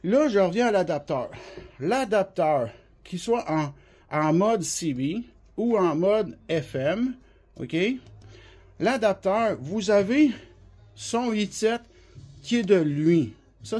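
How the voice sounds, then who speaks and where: Husky, high-pitched Quebec French man. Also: darkish tone. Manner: colloquial, rambling, hands-on explaining. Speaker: CB radio specialist and seller presenting products. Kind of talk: informal product demonstration.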